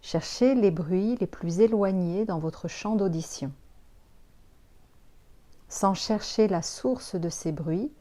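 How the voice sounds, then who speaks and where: A woman leading a guided yoga relaxation as an instructor, giving calm, soothing instructions with pauses. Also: medium pitch.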